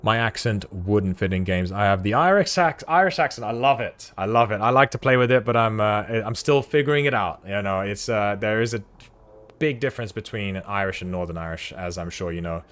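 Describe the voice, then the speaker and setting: A man's voice: on the low side, a little fast, fairly bright tone. A British man streaming a space game, rambling chattily to his chat at a desk mic. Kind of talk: casual livestream chat while playing.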